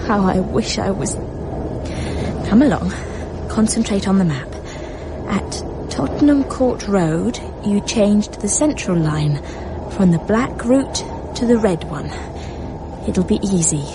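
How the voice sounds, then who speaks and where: An actress playing an anxious, claustrophobic, genteel woman who fretfully talks to herself in a radio drama. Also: animated. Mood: uneasy, self-coaxing. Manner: fretful, halting, talking to herself. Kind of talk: radio drama interior monologue. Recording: noisy train rumble behind the voice.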